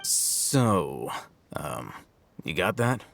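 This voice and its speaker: Low, gravelly, laconic scholar. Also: male voice, slight background noise, heavily acted. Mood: deadpan. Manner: deadpan, unhurried. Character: calm, laconic, studious young man, aloof and observant. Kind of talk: anime game voice acting.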